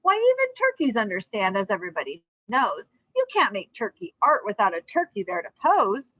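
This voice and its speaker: A woman plays an eager, plotting townsman from a children's storybook. The delivery is bright and persuasive, with animated, swooping pitch in a dry recording. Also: moderate pace, husky, no room sound, heavily acted. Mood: eager, scheming. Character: scheming, cheerful townsman, eager to trap a turkey.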